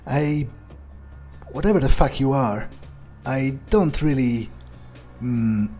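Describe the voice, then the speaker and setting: A ruthless, foul-mouthed vampire, played by a man in an improvised game monologue. He speaks slowly in a dark, relaxed voice at a middling pitch, weighing things aloud with halting, menacing calm. Faint music plays behind him. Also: close to an everyday voice. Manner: musing, halting, profane, thinking aloud.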